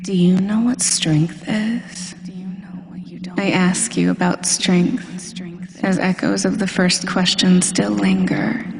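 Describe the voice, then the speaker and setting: Woman playing a slow, reverberant supervillain hypnotist. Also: lowish voice, expressive, noisy background, exaggerated voice. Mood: hypnotic, confident. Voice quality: breathy, often dropping to a whisper. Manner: slow, deliberate, hypnotic, commanding. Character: smug, domineering female supervillain hypnotist, poised and teasing. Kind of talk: slow scripted hypnosis roleplay audio. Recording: long hall-like reverb.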